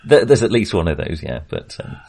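A man's voice: sits low, very animated, a little fast, vocal fry, mellow. A man casually discussing a film as a podcast co-host, in a hesitant, rambling way.

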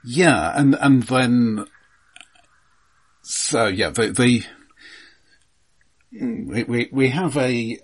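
A man co-hosting a podcast, discussing a film casually, halting and repeating his words. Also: mid-range voice, husky. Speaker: podcast co-host.

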